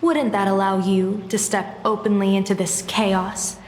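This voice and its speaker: An actress plays a shrewd woman strategist in a video game, calm and formal. She talks slowly and with composure at a medium pitch. The voice echoes as in a hall, with music behind it. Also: a little hiss or hum, lightly acted. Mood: calm, persuasive.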